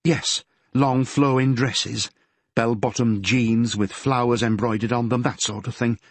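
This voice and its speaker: A man voicing a grieving middle-aged mother with a Yorkshire accent, who talks in a gentle, fretful and homely way. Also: mid-range voice, steady tone, measured pace, dry recording. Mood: sorrowful. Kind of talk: audiobook character voice.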